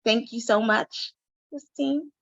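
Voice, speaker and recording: A woman hosts an interview with a bright, forceful tone and lively enthusiasm. Her voice sounds dull and lo-fi, recorded dry with no room echo. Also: fairly high pitch, takes it slowly, vocal fry. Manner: enthusiastic, exclamatory reactions. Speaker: show host. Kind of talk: upbeat interview hosting.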